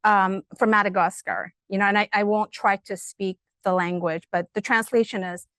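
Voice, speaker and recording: A middle-aged woman with a loud voice, giving a lively, conversational academic talk to an audience, full of fillers and enthusiasm. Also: mid-range voice, normal speed, no room sound, clean studio sound. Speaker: guest speaker at an academic colloquium.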